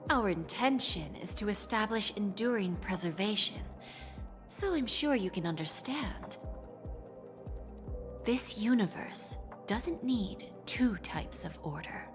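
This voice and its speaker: A poised female corporate negotiator in a video game. She is formal, calculating and deliberate, with a medium-pitched voice over faint music. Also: animated. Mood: firm. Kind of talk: scripted video game voice acting.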